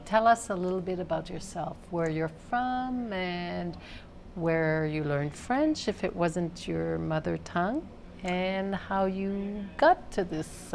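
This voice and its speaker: A woman hosting a local TV interview, asking slow, careful questions with small hesitations. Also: on the low side, gravelly, darkish tone, a little hiss or hum. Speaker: TV host. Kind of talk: interviewing guests. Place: TV studio.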